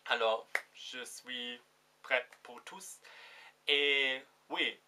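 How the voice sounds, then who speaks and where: A young man from Germany in his twenties, speaking French with a German accent, talking to his viewers in a casual vlog and often pausing to search for words. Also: mid-range voice, sharp tone, clear, resonant tone. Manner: hesitant, searching for words, many fillers. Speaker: vlogger. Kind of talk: casual direct-to-camera vlog.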